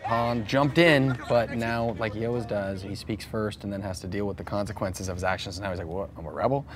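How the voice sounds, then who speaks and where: A man's voice: on the low side, a little fast, a crowd in the background. A man speaking as a writer and director, chatting casually and enthusiastically in an interview.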